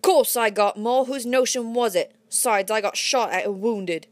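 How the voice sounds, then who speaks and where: A burly red-haired bandit, as a woman reader puts him on, growls out his plans with jovial menace. He speaks louder and a touch higher than the reader's own voice, gloating in a storybook adventure. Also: expressive, normal speed, no echo, close to an everyday voice. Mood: blustering.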